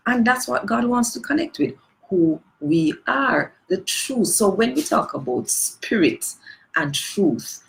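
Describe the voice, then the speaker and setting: A woman's voice: fairly low pitch, vocal fry, rough recording. A Jamaican woman leading a livestreamed worship reflection, earnest and emphatic, pressing her points with rhetorical questions. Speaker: worship leader. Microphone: phone or webcam on a live stream.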